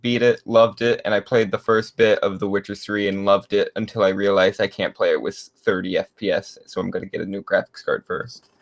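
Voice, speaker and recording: A man's voice: on the low side, fairly even intonation, fairly quick. A young man who is a guest on a casual gaming podcast, easygoing and laid-back.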